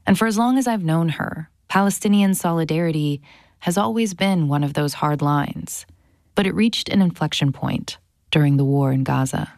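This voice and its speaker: A woman's voice: low voice, normal speed, a little mellow, no echo, studio quality. A woman, around her thirties, narrating a scripted audio documentary as its host. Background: music bed.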